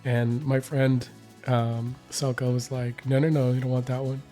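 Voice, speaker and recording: Hoarse man rambling through a recap. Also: mid-range voice, little pitch movement, a little slow, mellow, soft background music. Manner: rambling, many fillers and restarts. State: hoarse, recovering voice. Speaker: reaction channel host. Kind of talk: casual recap vlog.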